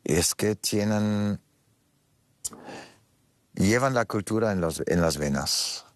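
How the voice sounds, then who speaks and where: Very deep-voiced German man, emphatic. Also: slow pace, dry recording. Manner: emphatic, repeats phrases, frequent restarts. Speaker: choreographer. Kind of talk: interview answers.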